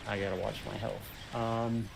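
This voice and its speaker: A man's voice: fairly low pitch, little pitch movement, unhurried. A man chatting casually while playing a co-op game, explaining his points with careful qualifiers. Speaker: co-op gaming partner. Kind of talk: casual gameplay chat. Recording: clear background noise.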